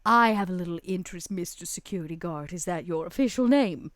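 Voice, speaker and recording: A woman playing a flirtatious, sly vampire widow who speaks with coy, flattering sweetness in a tabletop game. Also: lowish voice, a little fast, no room sound, clean studio sound, natural voice. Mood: coy flattery.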